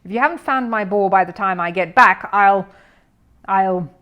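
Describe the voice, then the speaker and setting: Casting: woman reader as blustering grumpy older gentleman neighbour, gruff delivery, children's storybook. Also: medium pitch, normal speed, dull audio, natural voice. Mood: menacing. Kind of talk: children's storybook character reading.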